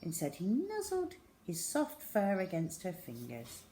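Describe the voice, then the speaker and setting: A woman reading a children's story aloud as an expressive storyteller. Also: lowish voice, measured pace, slight background noise.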